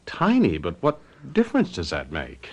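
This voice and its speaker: An actor playing a guilty, nervous husband in a radio mystery drama, with a defensive manner. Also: male voice, sits low, huge pitch swings, normal speed, exaggerated voice. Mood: flustered, defensive. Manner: hesitant and defensive under questioning, stammering. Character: guilty, nervous husband who murdered his wife.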